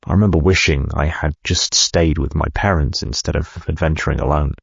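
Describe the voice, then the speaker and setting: An actor playing a male chief executive reading his own autobiography in a measured, reflective, gravelly voice. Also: rumbling low, dry recording, muffled recording, heavily acted. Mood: haunted, reflective. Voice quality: gravelly, husky. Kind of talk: scripted audiobook narration.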